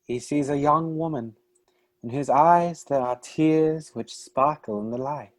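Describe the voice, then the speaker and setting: A narrator reading stage directions for a radio play in an unhurried, even storytelling manner. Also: mid-range voice.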